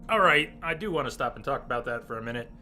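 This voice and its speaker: A hoarse man with a sore, scratchy throat, chatting casually through a live game stream and clearing his throat often. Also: medium pitch, expressive, quick pace, bright, forceful tone, faint music behind. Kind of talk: casual live gameplay commentary.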